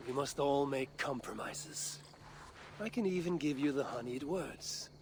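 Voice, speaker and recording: A man's voice: medium pitch, unhurried, a little mellow, natural voice. Self-assured Norse god for game cutscenes, male actor, mid-range voice, teasing and lofty, over audible ambience. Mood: wry, grandiose. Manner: wry, eloquent, lofty. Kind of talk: video game cutscene acting. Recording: clear background ambient noise.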